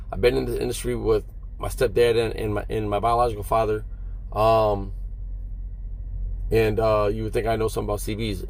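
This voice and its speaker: A middle-aged American man, a truck driver, talking casually to his vlog viewers in a folksy, rambling, conversational way. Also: mid-range voice.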